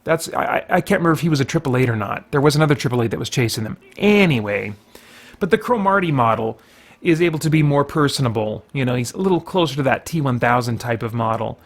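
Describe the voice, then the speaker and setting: Man, mid-pitched, casual gaming commentary, rambling, thinking out loud. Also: expressive, fast talker. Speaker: gaming video host. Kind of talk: casual let's play commentary.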